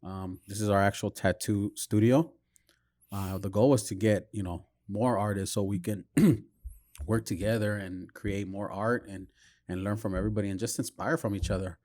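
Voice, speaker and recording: A man's voice: fairly low pitch, steady tone, normal speed, a little mellow, no echo, studio quality. A man hosting a casual podcast, chatting informally and encouragingly. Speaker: podcast host and tattoo studio owner.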